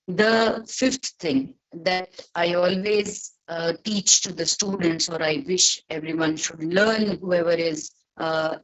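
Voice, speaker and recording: A woman with an Indian accent gives an online lecture as a voice acting teacher. She speaks slowly and deliberately, with halting phrases as she explains. Her pitch sits in the middle of the usual female range. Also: rough recording. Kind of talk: webinar lecture with demonstrations.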